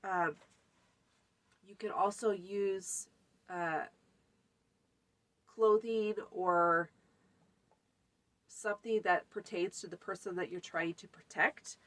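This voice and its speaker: A woman with a blocked, stuffy nose talks viewers through a homemade craft in a loose, conversational way. She ambles from item to item with frequent ums and uhs. Her voice sits at an ordinary middle pitch. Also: fairly even intonation, takes it slowly, pauses often, fairly bright tone, rough recording. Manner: chatty, many fillers, lists items. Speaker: folk magic channel host. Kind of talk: casual spoken tutorial.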